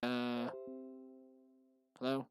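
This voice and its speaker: A man making a game who rambles in casual commentary while he works, in a tired, monotonous, deadpan voice. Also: drawn-out words, soft background music. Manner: tired, low-energy. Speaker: game developer vlogger.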